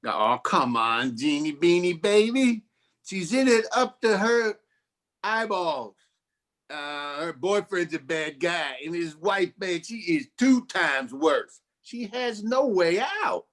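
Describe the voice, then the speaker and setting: An actor playing a glib, wisecracking 1940s private detective in a campy noir radio play. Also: male voice, high voice, animated, slow, fairly bright tone, full character voice. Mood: sweet-talking, pleading. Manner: glib, slangy.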